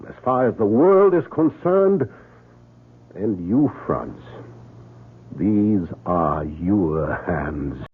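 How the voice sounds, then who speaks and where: Insistent German surgeon, animated delivery, husky voice, theatrical, radio play, narrow vintage sound. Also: male voice, fairly low pitch, drawn-out words, long pauses, exaggerated voice. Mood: solemn, confiding. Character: kindly, insistent German surgeon, fatherly and secretive. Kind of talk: old-time radio drama acting.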